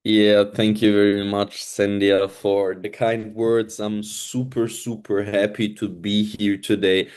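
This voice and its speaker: A man's voice: lowish voice, little pitch movement, slow, few pauses, darkish tone, clear, resonant tone. A man in his twenties, originally from Italy, speaking earnestly on an online webinar and often repeating himself. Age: 20s. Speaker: webinar presenter. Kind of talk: casual online presentation.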